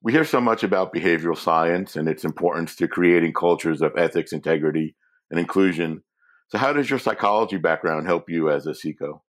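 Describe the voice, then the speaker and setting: A man interviewing a guest, reading prepared questions in a deliberate, formal way. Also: deep voice.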